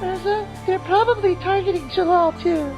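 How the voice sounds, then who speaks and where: A woman voicing a sweet, composed young woman mage in a fan podcast read-through, speaking in a soft, polite way. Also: very high-pitched, moderate pace, over music. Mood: calm. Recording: muffled lo-fi recording.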